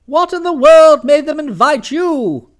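A man voicing a booming, imperious older aunt who blusters and scolds. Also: expressive, a little slow. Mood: blustering.

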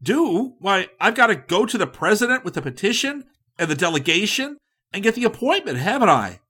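A man acts out an eager, self-important hustler who cannot wait. The voice sits high and jumps about, loud and breathless with hurry, with a slightly husky grain. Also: full character voice. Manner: excited, rushed, loud.

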